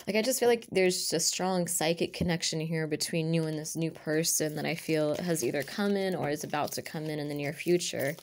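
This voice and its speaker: Raspy-voiced woman reading tarot. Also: low-pitched, brisk, hardly any pauses, a little hiss or hum. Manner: murmurs card names half-whispered while laying cards. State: recovering from a cough. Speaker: tarot reader. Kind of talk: casual tarot reading to camera. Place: indoors at a table with a purple cloth. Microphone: camera over her hands, speaker off-frame.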